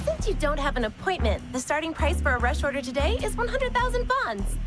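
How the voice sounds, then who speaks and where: A woman's voice: high voice, very animated, fairly quick, over music. A woman voicing a polite, businesslike boutique clerk in a video game, with formal customer-service manners. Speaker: shop assistant.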